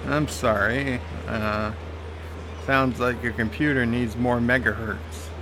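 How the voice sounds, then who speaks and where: A man's voice: medium pitch, steady tone, slow, noisy background. A man streaming a game, reading viewer comments aloud and answering them casually, with lots of "uh" fillers.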